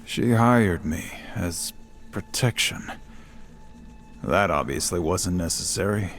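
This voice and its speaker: A man's voice: low voice, takes it slowly, soft background music. A man playing a brooding, wounded hired protector in a video game, terse and reserved. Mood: hurt, reproachful. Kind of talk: video game character acting.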